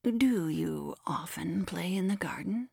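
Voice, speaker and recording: A woman voicing a male demon disguised as a poor pilgrim, speaking slowly in a hushed, whispery voice to sound gentle. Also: fairly low pitch, vocal fry, slightly rough, no echo, studio quality, full character voice. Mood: sly. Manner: harsh voice made soft and whispery.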